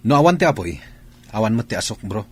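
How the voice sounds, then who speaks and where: A man's voice: lowish voice, big pitch swings, quick pace, breathy edge. A man with an Ilocano accent playing a stern, brooding soldier in a radio drama. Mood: suspicious, angry.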